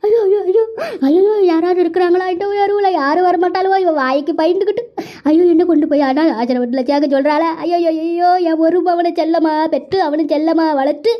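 Very high, wailing mother-in-law, acted by a woman. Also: fast. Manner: lamenting, cursing, repeating cries. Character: wailing, self-pitying older village mother-in-law. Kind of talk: melodramatic Tamil village family skit. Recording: dull, muffled lo-fi audio.

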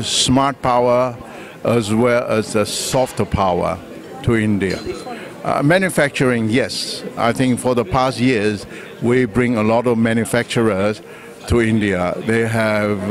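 Halting older Taiwanese-accented man in his sixties. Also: mid-range voice. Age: 60s. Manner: halting, measured phrasing with pauses mid-sentence. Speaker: trade official being interviewed. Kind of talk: press interview answers. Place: indoor press conference room. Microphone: handheld reporter microphone.

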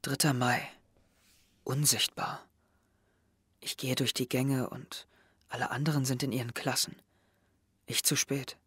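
A man's voice: mid-range voice, level tone, measured pace, pauses often, sharp tone, slightly rough, no echo. A young man playing an anxious, withdrawn, self-doubting teenage schoolboy in an audio drama. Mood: hushed, melancholy. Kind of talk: audio drama dialogue and inner monologue.

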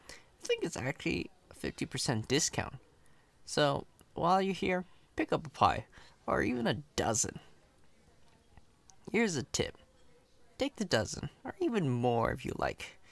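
Man acting a chatty, cocky coworker, creaky and animated. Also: a little high, a little slow, no room sound, exaggerated voice. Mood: easygoing, friendly. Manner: casual, reassuring, playfully boastful. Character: chatty, cocky, friendly male company employee welcoming newcomer. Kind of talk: ASMR roleplay.

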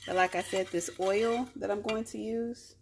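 A woman's voice: mid-range voice, fairly even intonation, moderate pace, gravelly, noisy background. Chatty woman vlogging in an echoey home. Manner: chatty, laughs often, talks to viewers directly. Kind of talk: casual cook-with-me vlog. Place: home bathroom, then kitchen. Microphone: phone camera at arm's length.

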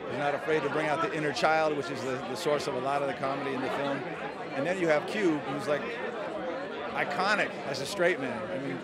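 A middle-aged actor speaking reflectively in an outdoor red carpet interview into a handheld mic. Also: male voice, a little high, fairly even intonation, brisk. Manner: thoughtful, reflective, rambling. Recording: loud crowd noise behind.

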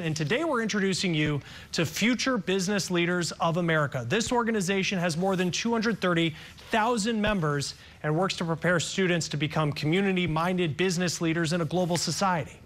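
A man reads a news segment introduction from a script. His voice is notably high, and his delivery is crisp and measured. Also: a bit bright. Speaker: news presenter.

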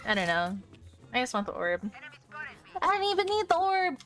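A woman streaming a shooter game live, reacting excitedly, swearing and rambling. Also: high-pitched, moderate pace. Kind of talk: casual live gaming commentary. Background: game sounds.

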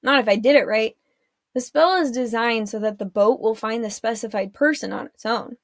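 A woman voicing a teenage boy warlock in an audiobook, speaking calmly and matter-of-factly. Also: a little high, normal speed, no room sound, lightly acted. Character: teenage boy warlock Mavik, calm and wry.

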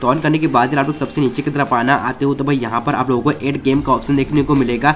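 A man's voice: mid-range voice, fairly even intonation, fast talker, a bit bright. A man narrating a casual step-by-step gaming tutorial in a chatty way. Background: background music.

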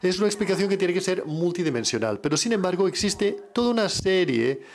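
A man giving a simultaneous interpretation of an academic conference lecture, measured and often correcting himself mid-phrase. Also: sits high, very animated. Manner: measured, with frequent self-corrections.

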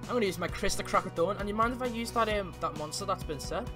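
A man in improvised gaming roleplay chat, speaking haltingly and repeating words as he goes. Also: high voice. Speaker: roleplay player. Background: music.

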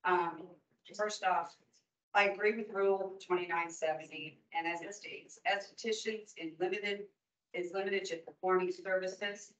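A woman's voice: lowish voice, steady tone, moderate pace. A woman in her fifties, an esthetician from Oregon, giving an earnest, emphatic public comment to a licensing board in a large meeting room. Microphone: distant room mic. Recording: roomy, distant, dull meeting audio.

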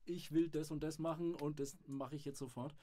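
A young adult man hosting a podcast, asking a fellow student relaxed, friendly questions. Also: on the high side, brisk. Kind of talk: casual podcast interview. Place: studio at a music academy.

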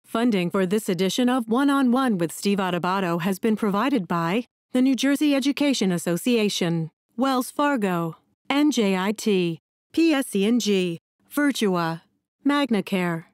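A woman's voice: mid-range voice, lively intonation, unhurried, no echo. A woman announcer reading scripted sponsor credits as a studio voice-over.

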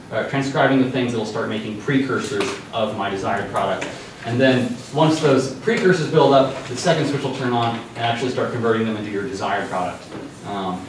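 A young man giving an informal science news talk in a dark lecture room, loose and conversational with many ums and restarts. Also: mid-range voice, background noise. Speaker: presenter. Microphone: far room mic. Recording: echoey hall from far off.

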